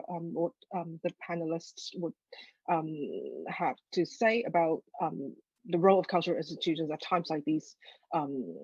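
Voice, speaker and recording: A woman with a Hong Kong accent moderating a panel discussion, halting as she searches for words, on slightly dull audio. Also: sits low, a little mellow, breathy edge, no room sound.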